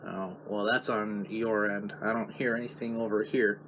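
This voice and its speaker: A man's voice: on the low side, steady tone, normal speed, fairly bright tone, rough recording. Casual man hosting a hobby vlog, faint noise. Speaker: video host, radio hobbyist.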